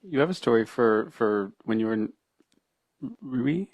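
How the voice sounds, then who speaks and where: A man's voice: mid-range voice, animated, normal speed, darkish tone, dry recording. Easygoing man co-hosting a podcast.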